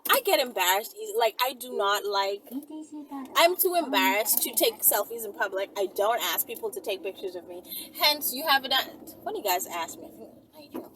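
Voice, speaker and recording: A woman's voice: medium pitch, lively intonation, normal speed, fairly bright tone. A woman chatting casually as a storytelling vlogger, with slangy, emphatic phrasing and lots of repetition for effect. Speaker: vlogger telling stories to followers. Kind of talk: casual storytelling vlog chat. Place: out and about, on the move in town. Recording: distant mic, noisy vehicle ambience.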